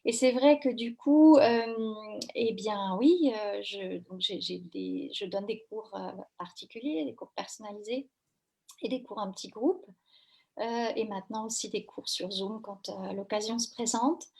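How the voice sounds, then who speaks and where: A woman's voice: medium pitch, unhurried, gravelly, warm tone. A woman from France working as a running coach, answering questions in a live interview, with many fillers and restarts. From France, Brittany. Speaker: running coach and French teacher.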